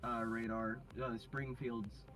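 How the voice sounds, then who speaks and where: Mid-pitched man casually explaining on a stream. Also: level tone, a bit bright, faint music behind, low-quality recording. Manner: halting, informal, explanatory. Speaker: live stream co-presenter.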